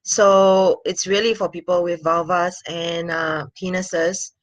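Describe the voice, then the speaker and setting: A woman's voice: fairly low pitch, level tone, takes it slowly, dry recording, muffled recording. A woman, talking earnestly to a webcam in a small room, with many fillers and little laughs. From Singapore. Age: 40s. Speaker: sex and intimacy coach. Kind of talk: casual talking-head vlog.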